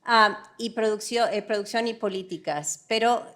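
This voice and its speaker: Halting, frank woman giving a talk. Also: mid-range voice, a bit bright. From Argentina. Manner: frank, emphatic, mixes English words into Spanish; halting, self-correcting, checks in with rhetorical tag questions. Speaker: conference speaker, development economist. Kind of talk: conference presentation, semi-improvised.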